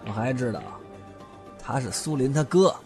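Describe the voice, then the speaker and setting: Man acting a sneering, lively-pitched vengeful thug. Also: normal speed, close to an everyday voice. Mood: sneering threat. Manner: sneering, crude swearing, taunting. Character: menacing, vulgar, disfigured older brother with a grudge. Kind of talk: Chinese audio drama acting. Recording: music behind the voice.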